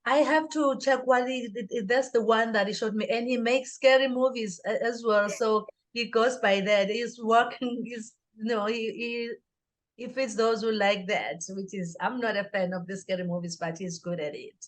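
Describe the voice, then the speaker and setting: A woman answering as an interview guest in a conversational, halting way, often correcting herself mid-sentence. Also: fairly high pitch, fairly even intonation. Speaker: interview guest, author and singer.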